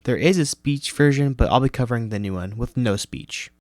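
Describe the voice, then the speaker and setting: A man's voice: medium pitch, brisk, darkish tone, no echo, clean studio sound. A man hosting a video review, narrating and commenting from a script. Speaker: YouTube host reviewing horror videos.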